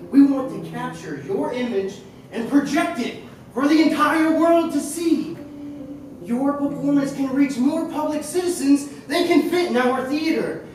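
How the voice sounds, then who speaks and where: Stage actor playing smooth circus showman magician, theatrical, lively pitch, far from mic, roomy echo. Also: natural voice. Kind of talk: stage play acting, theatrical. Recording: echoey theater stage from far off, faint noise.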